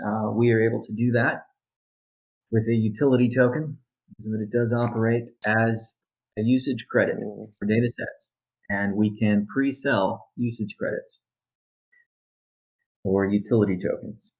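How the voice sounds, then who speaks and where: A man with a fairly low voice gives a business update in the role of a chief executive. He speaks deliberately, breaking his sentences into short, halting phrases as he works through conference call items. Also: a little mellow, poor audio.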